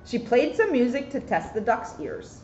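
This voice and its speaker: A woman's voice: on the high side, sing-song, normal speed, a little mellow. A woman police officer reading a picture book aloud expressively, with chatty, casual asides. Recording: roomy echo, muffled, faint noise.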